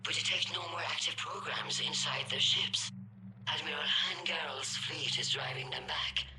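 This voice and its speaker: An actor playing a military officer reporting on a battle in clipped phrases, in a video game cutscene. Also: male voice, a little high, moderate pace, natural voice. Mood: alert. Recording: very noisy background ambience.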